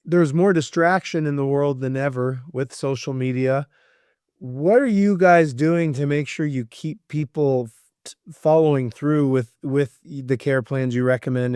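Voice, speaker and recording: A man's voice: fairly high pitch, darkish tone, clean tone, no echo, studio quality. A man hosting a casual interview, asking questions informally and often affirming what his guest says. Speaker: podcast host, interviewer.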